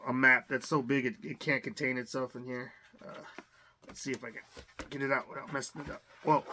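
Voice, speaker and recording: A man with a bright, clear-edged voice at an average pitch talks casually through an unboxing. He rambles and hesitates as he thinks aloud, sounding like someone slightly under the weather. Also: slight background noise. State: under the weather, occasional cough. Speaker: host of a collector's unboxing channel.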